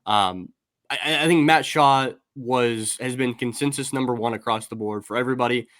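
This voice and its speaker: A man's voice: medium pitch, normal speed, a bit bright, no room sound, studio quality. Man rambling through baseball prospect talk. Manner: rambling, many restarts and fillers. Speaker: podcast host. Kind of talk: casual baseball prospect discussion.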